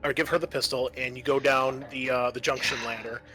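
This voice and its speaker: A man narrating a tabletop roleplaying game as game master, improvising and thinking aloud with hesitant pauses. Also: medium pitch, fairly even intonation, sharp tone, poor audio.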